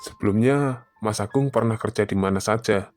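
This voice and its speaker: A man playing a formal, courteous human resources manager in a cartoon, measured and businesslike. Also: on the low side, a little mellow, faint music behind, natural voice. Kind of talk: animated story dialogue.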